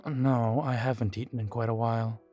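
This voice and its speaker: A man playing a guarded, world-weary vampire informant in an audio roleplay, speaking in formal, measured phrases, proud yet fond. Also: medium pitch, little pitch movement, warm tone, close to an everyday voice. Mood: relenting, fond. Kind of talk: scripted audio roleplay to the listener. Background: background music.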